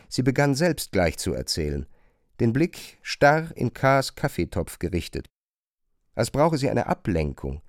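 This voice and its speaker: A male narrator reads literary prose for a radio drama in a dry, close studio. His mid-pitched voice moves up and down in a lively way, while the long sentences stay carefully phrased. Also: dry recording. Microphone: close studio microphone.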